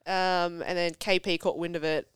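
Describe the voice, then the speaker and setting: A young woman with a congested cold, chatting as a podcast guest, self-deprecating and quick to tell stories. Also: on the low side, flat delivery, clean tone, dry recording. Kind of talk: casual chat. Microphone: studio podcast mic.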